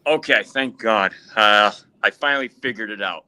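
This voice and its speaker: Man with a very bright, sharp voice, hurried casual explainer on a video call. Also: lowish voice, clear, resonant tone. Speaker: guest joining a live stream. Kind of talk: casual chat.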